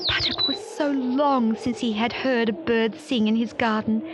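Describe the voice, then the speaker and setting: A woman's voice: a little high, muffled recording, noisy background. A woman reading a children's story expressively, with dramatic repeats and questions to young listeners.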